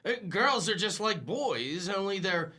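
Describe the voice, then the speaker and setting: A man's voice: high-pitched, very animated, measured pace, bright, forceful tone, heavily acted. An actor playing a bumbling, blustery working-class husband and father in a comic radio drama, with a fumbling, hesitant way of talking. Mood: blustering.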